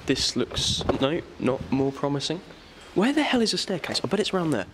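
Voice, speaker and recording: A man's voice: on the high side, very animated, moderate pace. A young man narrating casually as he explores abandoned buildings, swearing and thinking aloud. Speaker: vlogger. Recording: handheld camera with background noise.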